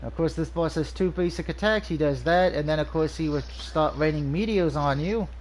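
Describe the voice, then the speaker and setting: A man's voice: a little high, normal speed, a little hiss or hum, rough recording. A man with a nasal, stuffy voice from allergies, casually reviewing a video game over gameplay, rambling with frequent ums and restarts.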